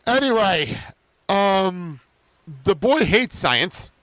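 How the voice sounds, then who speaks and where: A man with a high, lively voice chats through an episode recap. His delivery is informal and giggly, with casual swearing. The sound is bright and forward, like a podcast co-host talking off the cuff. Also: drawn-out words, dry recording, rough recording.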